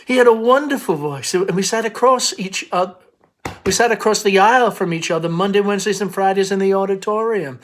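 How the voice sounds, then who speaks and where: A man's voice: high voice, a little fast, a bit bright. A man voicing a shy, fragile, wistful young woman with a hesitant, apologetic manner in a stage play reading.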